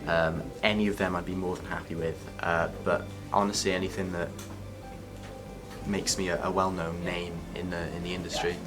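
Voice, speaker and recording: Young man, deep and candid, filler-heavy, over music. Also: little pitch movement, normal speed. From Wales. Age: young adult. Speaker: film apprentice. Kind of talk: interview answers. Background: background music.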